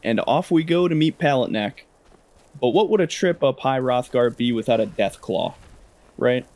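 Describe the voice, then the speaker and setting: A man with a mid-pitched voice narrates gameplay. He keeps it casual and slangy, with a wry turn to his storytelling. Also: normal speed, a little mellow, a little hiss or hum. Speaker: gaming video narrator.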